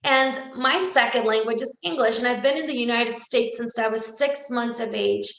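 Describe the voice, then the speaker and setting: A woman's voice: a little high, normal speed, creaky, a bit bright. A woman from El Salvador whose first language is Spanish, giving an earnest inspirational talk and repeating words for emphasis. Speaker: speaker. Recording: echoey room.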